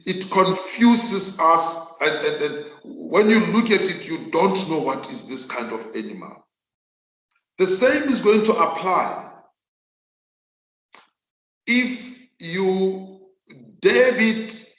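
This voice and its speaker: A South African man whose mother tongue is Northern Sotho, teaching a virtual class in an emphatic, deliberate way and repeating phrases for effect. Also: fairly high pitch, a bit bright. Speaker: accounting teacher. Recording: echoey room.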